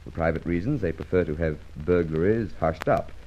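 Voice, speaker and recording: An actor playing a polite, dogged, suspicious police inspector in an old radio drama, courteous and probing. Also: male voice, deep voice, dull audio. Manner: courteous, probing, measured phrasing.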